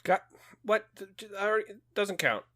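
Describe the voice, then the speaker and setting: A man with a bright, forward voice pitched a bit high. He banters bluntly as a podcast co-host, sarcastic and quick to cut in. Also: expressive. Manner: blunt, sarcastic, foul-mouthed, interrupting. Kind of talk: casual comedic banter.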